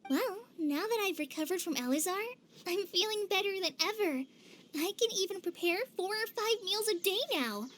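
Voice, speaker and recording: Gentle, earnest teen girl ranger for a fantasy game, high youthful voice over music. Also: lively intonation, moderate pace, a little hiss or hum. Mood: sweet, eager. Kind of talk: animated video game dub. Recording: music behind the voice.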